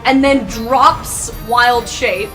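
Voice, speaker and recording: Female game player, fairly high voice, casual improvised narration over a music bed. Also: fairly even intonation, very slow.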